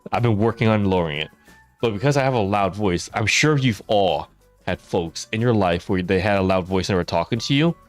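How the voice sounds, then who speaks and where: A man telling stories on a live stream while he plays a game, chatting with his viewers and laughing often. His loud voice is held down for the mic. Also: lowish voice, fairly quick, soft background music. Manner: chatty, laughs often, reads and answers chat. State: mouth watering after dental work. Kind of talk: casual live-stream storytelling while gaming.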